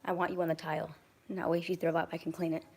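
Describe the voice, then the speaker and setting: A young woman vlogging at home with a hoarse, raspy voice, chatting casually with lots of ums and swearing while holding her phone at arm's length. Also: low-pitched, level tone, rapid-fire, darkish tone. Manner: chatty, frequent ums and likes, swears freely. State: hoarse from acid reflux. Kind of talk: casual vlog rant. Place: large home kitchen and rooms, indoors. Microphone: phone camera held at arm's length, walking around.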